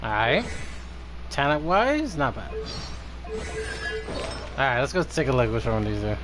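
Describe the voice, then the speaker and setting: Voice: mid-range voice, very animated, measured pace, noisy background. A game streamer chatting casually, in a teasing, sweary way. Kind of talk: casual live gaming chat.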